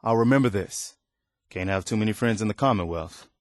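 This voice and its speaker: A man playing an earnest, weary, idealistic militia leader in a video game, speaking in a measured, sincere way. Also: lowish voice, no echo, studio quality, natural voice. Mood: earnest, reassuring. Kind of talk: video game character dialogue.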